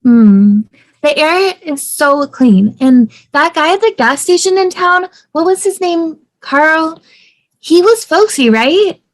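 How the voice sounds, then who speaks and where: Upbeat young wife, read by a woman, high register, casual enthusiasm, sitcom script. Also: expressive, slow. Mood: excited. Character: upbeat young wife excited about inherited house.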